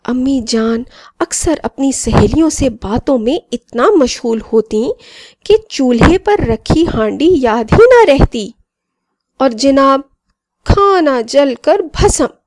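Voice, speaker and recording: A woman voicing a friendly, boastful talking mobile phone that narrates and moralizes to children in an expressive storybook style. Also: high voice, no room sound. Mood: concerned recounting.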